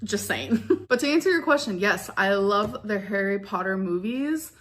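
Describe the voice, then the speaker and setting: A woman's voice: medium pitch, expressive, moderate pace, a bit bright. Chatty woman answering fan questions. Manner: chatty, rambling. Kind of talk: vlog Q&A.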